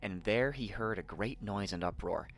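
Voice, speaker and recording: A man narrating a fairy tale in an expressive, theatrical storytelling manner. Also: lowish voice, normal speed.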